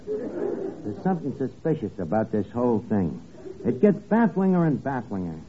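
An actor playing an earnest detective sidekick who narrates a 1950s radio comedy spoof with mock-serious emphasis. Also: male voice, medium pitch, wildly swooping pitch, moderate pace. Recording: crackly old radio broadcast, narrow band, studio audience.

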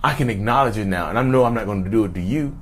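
A man playing a smooth-talking man who admits to past cheating and abuse, then flatters a new date. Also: fairly low pitch, rapid-fire, voice pushed down, close to an everyday voice. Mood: coaxing. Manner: flattering, casually admits wrongs.

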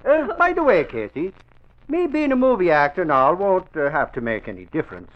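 A man's voice: high-pitched, very animated, a little fast. An actor playing a boastful, gullible Irish newspaperman with a pompous, blustering manner in an old radio comedy-drama. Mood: self-important. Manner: pompous, blustering, self-important. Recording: muffled old radio recording.

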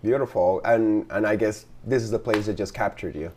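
A man hosting a relaxed interview, asking loose questions and backing the guest up with easy affirmations. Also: lowish voice, normal speed, a little hiss or hum. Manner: easygoing, frequent "mm-hmm" and "beautiful". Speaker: podcast host. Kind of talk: casual interview chat.

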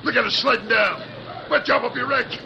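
An actor playing a greedy, blustering middle-aged mine owner in a vintage radio drama, pleading in a panic. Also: male voice, extremely high pitch, brisk, vocal fry. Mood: panicked. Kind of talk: 1940s radio acting. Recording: lo-fi noisy old radio broadcast.

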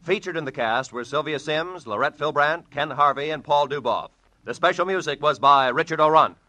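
Bright, forceful 1940s American radio announcer man. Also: on the high side, normal speed. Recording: vintage 1940s radio broadcast with music.

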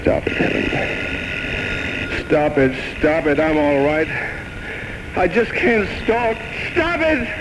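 Casting: anguished drunkard writer, begging, fairly high lively voice, noisy vintage radio drama recording. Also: male voice, slow pace, creaky, pauses often, exaggerated voice. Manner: pleading, anguished. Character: desperate, shaky alcoholic male writer. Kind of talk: 1940s radio drama acting. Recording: noisy old radio broadcast.

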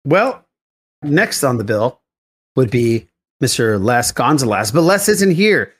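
Man, podcast host, animated intonation, casual banter, chummy. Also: mid-range voice, takes it slowly, breathy edge. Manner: animated, chummy, addresses co-hosts as buddy.

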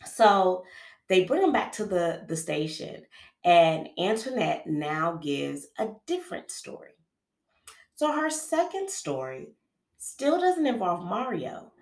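Podcast host with a cold, a woman with a lowish voice, casual true-crime storytelling in an echoey room. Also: big pitch swings, takes it slowly, a little mellow. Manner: conversational, with frequent fillers and restarts. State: has a cold, sniffling. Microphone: podcast microphone. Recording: roomy echo.

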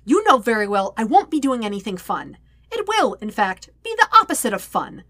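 A woman's voice: fairly high pitch, wildly swooping pitch, brisk, a bit bright, no echo, studio quality. A woman voicing an exasperated, dutiful young man in a story reading, emphatic and sarcastic. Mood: vehement.

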